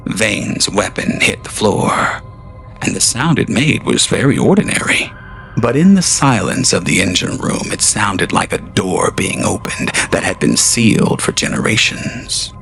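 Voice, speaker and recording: A man narrating an audio drama in a measured, dramatic way that drops at times to hushed near-whispers. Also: lowish voice. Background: faint music.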